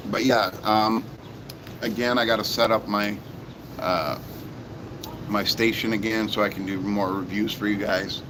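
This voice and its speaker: Hoarse low-voiced man rambling casually. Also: fairly even intonation, unhurried, pauses often, noisy background. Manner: rambling, repeats himself, many fillers. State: hoarse, sore voice. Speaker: toy reviewer. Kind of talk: casual vlog chat.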